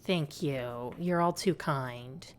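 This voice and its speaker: Low androgynous woman streamer. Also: slow pace, slight background noise. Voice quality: slightly raspy. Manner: soothing, chatty, thanks viewers often. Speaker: livestreamer and lore host. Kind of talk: casual livestream chat while gaming.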